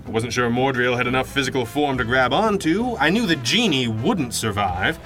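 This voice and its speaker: An actor playing a confident, wry masked crime-fighter in a pulp radio drama, measured and dramatic. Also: male voice, mid-range voice, animated, fairly bright tone, faint music behind, lightly acted. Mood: relieved, wry.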